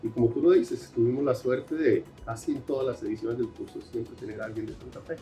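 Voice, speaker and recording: A man's voice: medium pitch, brisk, warm tone. Hesitant Colombian man with faint music. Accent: Colombian Spanish with Brazilian Portuguese traces. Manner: hesitant, many fillers and restarts. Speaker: researcher guest. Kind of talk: interview answers.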